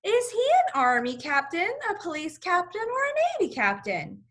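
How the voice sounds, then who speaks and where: A woman puts on a polite but obtuse storybook clerk. The voice is officious, high and bright, with singsong rises. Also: wildly swooping pitch, moderate pace, exaggerated voice. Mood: inquiring. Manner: officious, mishearing. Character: obtuse, polite city hall telephone clerk.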